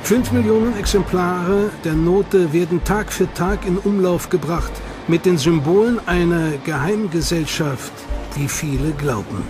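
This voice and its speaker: Male documentary narrator, high voice, scripted, suspenseful, music loud behind. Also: measured pace, few pauses. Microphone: studio mic. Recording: studio voice-over over loud music.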